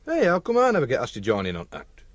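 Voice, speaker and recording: Very animated Yorkshire younger brother. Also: male voice, mid-range voice, quick pace, dry recording. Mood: plaintive. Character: eager, defensive younger brother wanting in on the scheme. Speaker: actor. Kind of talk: radio drama dialogue.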